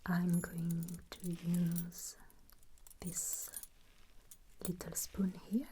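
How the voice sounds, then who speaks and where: A woman whispering softly and breathily in an ASMR roleplay as a gentle, reassuring examiner who takes her time. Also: fairly low pitch, level tone, drawn-out words, husky. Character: gentle, attentive ear and skin examiner. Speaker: ASMR performer playing a caregiver.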